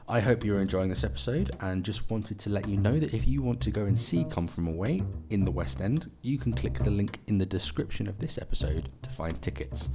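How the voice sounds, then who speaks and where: A man hosting a podcast, asking calm, brief questions and reading show links. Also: low-pitched. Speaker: podcast host. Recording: music bed behind.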